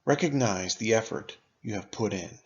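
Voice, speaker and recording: A man narrating a scripted guided meditation in a measured, instructive manner, pausing deliberately between phrases. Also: fairly low pitch, muffled recording.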